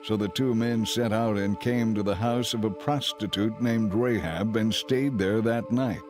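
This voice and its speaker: A man giving measured, solemn scripted narration for a dramatized audio Bible. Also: fairly low pitch, fairly even intonation, music in the background.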